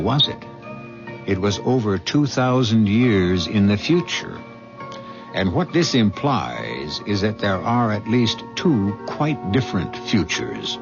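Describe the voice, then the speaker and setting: Slow dramatic guy hosting old radio mystery, music under, muffled recording. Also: on the low side. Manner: dramatic, deliberate. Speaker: host narrator. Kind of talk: 1970s radio mystery narration.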